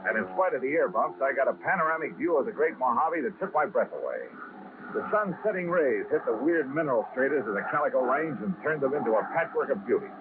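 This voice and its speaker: An actor playing a wry insurance investigator with clipped, dry wit in an old-time radio detective drama. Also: male voice, mid-range voice, very animated, fast, noisy background, lightly acted. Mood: dry narrating. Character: wry freelance insurance investigator, adult man. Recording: tinny vintage radio recording, music behind.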